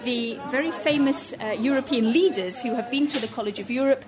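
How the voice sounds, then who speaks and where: A woman's voice: a little high, fairly quick, over music. A woman, a college communications director, speaking in a chatty, enthusiastic way with fillers while giving a tour. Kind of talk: interview on a guided tour.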